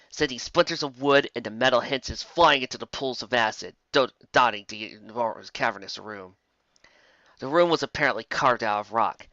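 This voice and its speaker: A man's voice: mid-range voice, bright, forceful tone, dry recording, muffled recording. A man reading a scripted fantasy story aloud as a dramatic narrator.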